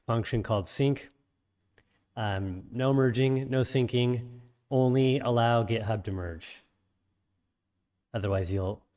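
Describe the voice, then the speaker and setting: Low, slightly raspy male instructor; casual, halting explainer full of ums and restarts. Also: a little mellow. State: slightly raspy after illness. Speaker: programming instructor. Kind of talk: screen-share coding tutorial.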